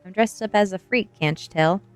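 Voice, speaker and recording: A woman's voice: medium pitch, fairly quick, clean tone, pitched above the performer's own voice, close to an everyday voice. A woman voicing a bold, spirited teenage goth girl with a cheeky, defiant manner in a storybook reading. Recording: music behind the voice.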